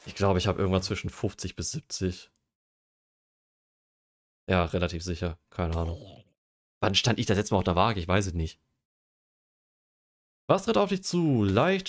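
A young man streaming a game, chatting casually and joking while he reads quiz questions aloud and muses over his answers. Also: fairly low pitch. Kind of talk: casual livestream chat while gaming.

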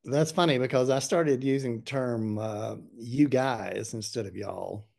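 A man's voice: mid-range voice, measured pace, vocal fry, a little mellow. A middle-aged man from Texas, chatting casually as a guest on a video call. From Texas, United States. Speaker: guest, painter. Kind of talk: casual chat. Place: indoor room, video call. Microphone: video call, desk distance.

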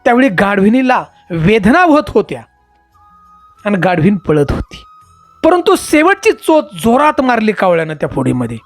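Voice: medium pitch, sing-song, normal speed, soft background music. A storyteller voicing a patient, learned male astrologer, explaining past-life karma emphatically and repetitively. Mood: earnest, resigned.

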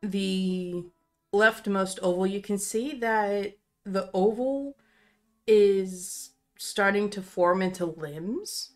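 Lady narrating a tabletop rp scene, medium pitch, faint music behind her. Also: slow pace. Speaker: game player and narrator. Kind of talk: improvised tabletop roleplay narration.

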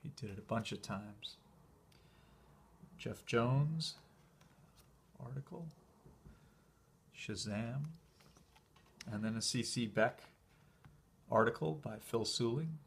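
A man casually talking through an old convention program as he leafs through it, relaxed and enthusiastic, with small fillers and pauses, recorded indoors by a camera filming his hands from above. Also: mid-range voice, creaky, a little hiss or hum. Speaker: collector showing a convention program. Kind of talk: casual flip-through commentary.

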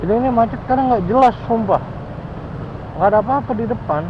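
A man's voice: very high-pitched, expressive, quick pace, over loud music. A man chatting and joking to the camera while riding a delivery motorbike through city traffic. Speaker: motorbike delivery driver vlogger. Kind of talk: casual moto-vlog chat. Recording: dull lo-fi audio with traffic noise.

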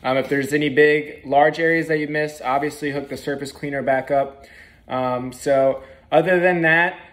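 A man's voice: a little high, normal speed. Casual young man explaining his trade. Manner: relaxed, plain-spoken, frequent ums and uhs. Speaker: pressure washing business owner. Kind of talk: casual how-to vlog. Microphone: phone camera at arm's length.

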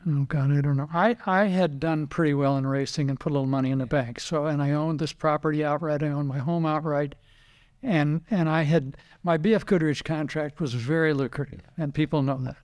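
Older man, American accent, fairly high voice, casual interview reminiscing, anecdotal storyteller, quotes old conversations. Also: steady tone, fairly quick, a little mellow, clean tone. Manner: anecdotal storytelling, acting out remembered dialogue. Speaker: retired racing driver and team owner, interview guest.

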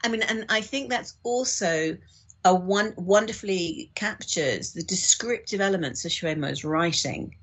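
A woman guesting on a podcast as an audiobook producer, talking about books in an enthusiastic, expressive way. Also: on the low side, a little slow, slightly rough. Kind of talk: conversational literary discussion.

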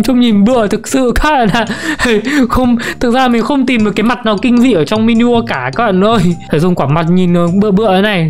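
A young woman giving casual, playful game commentary to her viewers, giggly and often repeating words in quick bursts. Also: a little high, darkish tone. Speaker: gaming video creator. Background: music.

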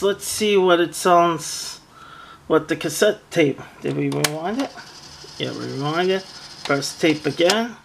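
A man casually demonstrating a product hands-on, narrating step by step in a plain, halting way. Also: gravelly, noisy background. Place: indoors, close tabletop setup. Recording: music from a boombox behind the voice. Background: loud music from the boombox.

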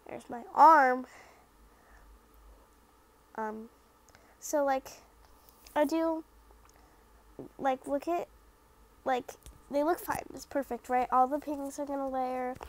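A young woman narrating her own craft video in a casual voice-over, rambling and self-critical with lots of fillers, sounding a little stuffy from the sniffles. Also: high voice. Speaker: craft vlogger.

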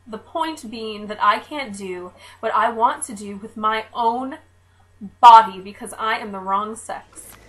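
Female spoken-word poet, a little high in pitch, building lists with emphasis. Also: measured pace, fairly bright tone, poor audio.

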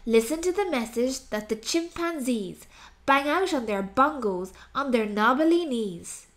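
A woman's voice: high voice, lively intonation, unhurried. A woman reading a rhyming children's story aloud as narrator, expressively and with dramatic emphasis.

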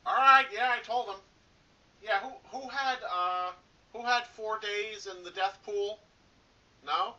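A muffled, lo-fi, phone-like recording of a man putting on a nervous pizzeria employee for a horror-game parody. His voice is fairly high and quite bright, with very little room sound. He rambles haltingly, hesitating as he offers hollow reassurance to a doomed night guard. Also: dry recording, exaggerated voice. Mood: muttering, callous. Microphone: cheap replacement microphone. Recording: dull lo-fi cheap microphone, telephone-like.

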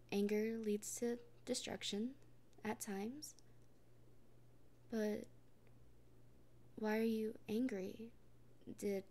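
Slow whispery woman with gravelly fry. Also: medium pitch. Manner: soft, often whispered, gently reassuring. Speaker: roleplay performer speaking as a partner. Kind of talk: ASMR comfort roleplay.

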